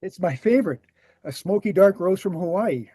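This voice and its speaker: A man plays an affable, knowledgeable author in his sixties for a screenplay table read. His voice is soft-edged and relaxed, a little high for a man, and closely dry. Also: moderate pace, slightly rough, no echo. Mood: affable, assured.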